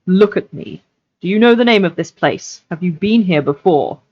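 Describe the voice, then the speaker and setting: A woman's voice: fairly low pitch, lively intonation, moderate pace, lo-fi sound. A woman voicing a stern, noble lord in a storybook reading, formal and grave as he questions and passes judgment. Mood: grave, commanding. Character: stern, just, noble lord of men, measured judge. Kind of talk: audiobook-style character reading.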